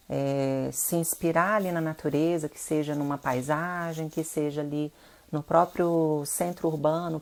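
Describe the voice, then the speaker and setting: A low-pitched Brazilian woman with a gravelly edge to her voice. She sounds stuffy and nasal from rhinitis and talks in a chatty way to the viewers of her live stream. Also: a little mellow. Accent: Brazilian Portuguese. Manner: chatty, addresses viewers directly. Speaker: interior designer hosting a live stream. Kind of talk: casual live-stream talk.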